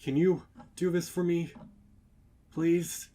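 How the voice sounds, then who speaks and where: A man's voice: a little high, steady tone, slow, warm tone, voice pushed up. A man voicing a frantic male pony husband who speaks urgently and emphatically, pleading. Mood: desperate pleading.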